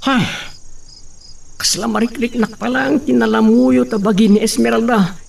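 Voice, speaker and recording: Guy doing humble chatty ilocano servant in radio drama, high voice, bit far from mic. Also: fairly even intonation, moderate pace, creaky, a little mellow, exaggerated voice. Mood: musing. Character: farmhand Bruno, talkative, deferential male servant. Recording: distant mic, faint noise.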